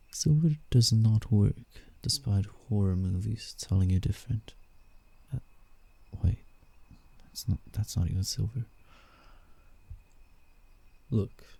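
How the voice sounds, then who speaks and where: A man playing a commanding, teasing alpha werewolf in a roleplay ASMR, with menace in his delivery. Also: full character voice. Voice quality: dark, gravelly low voice. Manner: slow, deliberate, teasing menace. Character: male alpha werewolf, predatory, teasing, commanding forest protector.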